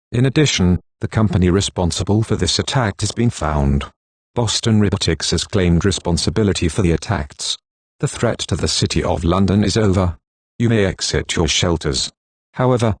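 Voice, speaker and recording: Low-pitched synthetic male announcer. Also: no echo. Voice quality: synthetic text-to-speech voice. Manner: formal, measured, impassive. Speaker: emergency alert announcer. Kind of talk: scripted emergency broadcast announcement.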